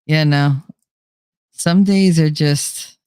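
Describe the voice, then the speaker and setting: A woman's voice: deep voice, fairly even intonation, extremely slow, dark tone, clear, resonant tone, no room sound. A young adult woman hosting a livestream, chatting casually and rambling, a little nasal from a cold, close to the microphone. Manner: rambling, chatty, laughs often. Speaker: livestream host. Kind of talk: casual chat reading live comments. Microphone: close desk microphone.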